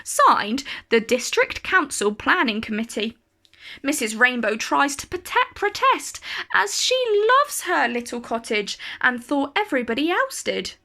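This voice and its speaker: A woman reading a children's picture book aloud in an expressive, carefully phrased storytelling style. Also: high voice, unhurried.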